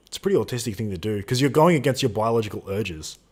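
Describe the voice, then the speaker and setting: A man's voice: lowish voice, expressive, quick pace, breathy edge. A man in his twenties co-hosting a live Q&A stream, chatting casually and reading out viewer questions. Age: 20s. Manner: casual slangy, swears, reads out chat questions.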